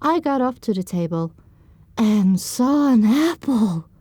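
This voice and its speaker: A young heroine played by a woman narrates her own tale in a fairly high voice. The storytelling is descriptive and expressive, recorded close and dry. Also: slow pace, breathy edge, dry recording, full character voice. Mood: narrating. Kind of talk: scripted first-person audiobook narration.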